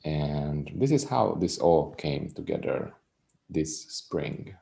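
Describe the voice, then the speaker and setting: A deep, gravelly man with a Hungarian accent, speaking slowly and calmly. His voice is dark and mellow, and he sounds reserved and soft-spoken as he chats about his music. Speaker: musician guest. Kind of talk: casual interview chat.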